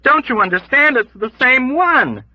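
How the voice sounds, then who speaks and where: A man's voice: very high voice, expressive, normal speed, dry recording, pitched above the performer's own voice. A man voicing a frantic male soldier patient who screams his lines emphatically. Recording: dull lo-fi recording.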